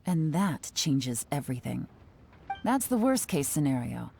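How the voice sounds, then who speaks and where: A woman playing a cool, shrewd investigator in an anime-style video game, composed and analytical with brisk authority. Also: low voice, big pitch swings, vocal fry, warm tone, slight background noise, full character voice. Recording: music playing behind the voice.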